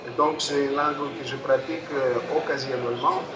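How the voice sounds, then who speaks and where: A native Greek man with a Greek accent giving a public talk, halting and repeating his phrases. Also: mid-range voice, steady tone. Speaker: photographer and panel speaker. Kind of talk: public talk at a book fair, introducing a debate. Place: busy book fair stand. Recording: echoey, distant mic, crowd noise.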